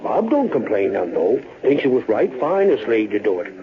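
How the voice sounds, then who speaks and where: An actor playing a gossipy, shrewd frontier saloon fellow with a rustic American drawl, wry and talkative, in old radio-western style. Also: male voice, on the low side, big pitch swings, normal speed. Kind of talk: 1930s-40s radio western acting. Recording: muffled, hissy old-time radio recording.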